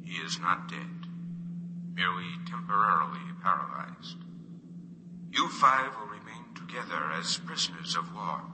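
An actor playing a cold, formal alien invader officer in a 1950s radio drama, speaking in a measured, unemotional way. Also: male voice, fairly low pitch. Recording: old radio recording with long reverb and hiss.

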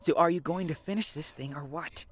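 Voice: medium pitch, brisk, slightly rough, lightly acted. An actor playing a brash, defiant adventurer in a fantasy audio drama, pushy and emphatic. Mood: commanding, impatient.

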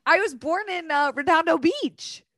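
A woman's voice: very high voice, expressive, measured pace, fairly bright tone, no room sound, clean studio sound. A woman raised in Florida hosting a podcast, gushing, swearing freely and filling her talk with "like". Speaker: podcast host. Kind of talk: casual chat.

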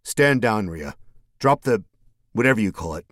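A man's voice: deep voice, lively intonation, normal speed, slightly rough, dry recording, clean studio sound. A man voicing a weary immortal who talks in a clipped, commanding way. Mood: tense, commanding.

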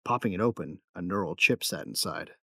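A man's voice: low voice, expressive, fairly quick, creaky, fairly bright tone, dry recording, clean studio sound, full character voice. A man playing a tough young gunfighter and protective big brother in a sci-fi audio drama, matter-of-fact and wry. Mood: matter-of-fact, grim. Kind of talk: first-person narration and dialogue in sci-fi audio drama.

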